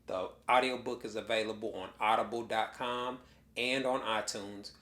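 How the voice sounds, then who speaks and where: A man who is a relationship coach reading a scripted promo for his own audiobook in a calm, measured way. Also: on the low side, reverberant.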